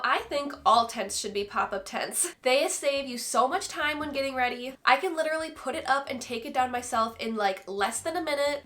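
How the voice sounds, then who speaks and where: A woman's voice: on the high side, fairly bright tone. A woman in her twenties from Minnesota, chatting enthusiastically through a casual product review vlog, her voice stuffed up and nasal from a recent illness. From Minnesota, USA. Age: 20s. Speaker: vlogger.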